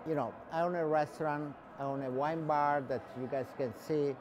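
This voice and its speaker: A man from Italy with an Italian accent, a bartender speaking haltingly in an interview and searching for words. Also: a little high, fairly even intonation. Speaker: bartender and wine bar owner. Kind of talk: casual interview.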